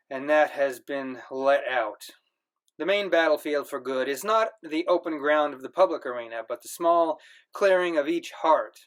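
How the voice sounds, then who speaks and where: A man reads a novel aloud in measured, earnest first person. His voice sits on the higher side for a man. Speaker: audiobook reader. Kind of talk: novel reading.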